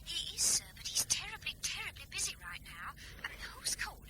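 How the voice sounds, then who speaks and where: An extremely high-pitched, half-whispering secretary in a radio play. She is timid and evasive, her hesitant voice swooping widely. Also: wildly swooping pitch, brisk, heavily acted. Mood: evasive, nervous. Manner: hesitant, hushed. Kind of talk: radio drama acting.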